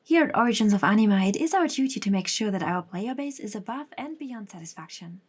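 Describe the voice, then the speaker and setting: A young female office worker in an audio drama, with a mid-pitched voice. She sounds jaded and carries resentment under her words. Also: expressive, a little fast, breathy edge. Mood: customer-service polite. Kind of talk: audio horror drama acting.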